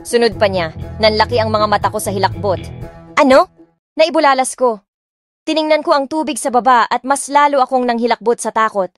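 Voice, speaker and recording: A young woman narrating a first-person romance audiobook, expressive and switching between two languages. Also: on the high side, brisk, no room sound, soft background music.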